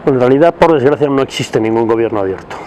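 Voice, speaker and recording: A man giving his opinion in a recorded message, speaking haltingly and deliberately as he searches for words. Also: medium pitch, a little mellow, slight background noise, poor audio. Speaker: civil society commentator in a video message. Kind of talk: spoken opinion to camera.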